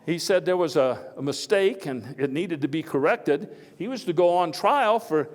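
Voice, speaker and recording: An actor playing a vigorous, patriotic elder statesman giving a folksy, emphatic, halting speech in a live historical reenactment. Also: on the high side, lively intonation, close to an everyday voice. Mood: wry storytelling. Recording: echoey live hall, faint background noise.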